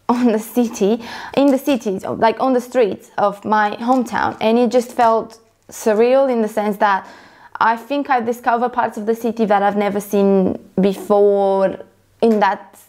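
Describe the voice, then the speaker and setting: A woman's voice: on the high side, steady tone, measured pace, darkish tone. A young woman from Romania, a photographer vlogger, talking casually to camera and rambling with many fillers and self-corrections.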